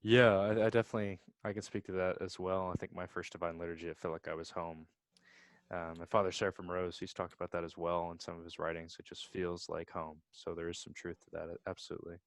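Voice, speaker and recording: A male interviewer with an upbeat, casual manner. He talks fast in a low voice. The recording is dry, with no room sound. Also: level tone, no room sound. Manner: upbeat, brisk greetings. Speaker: interviewer, host.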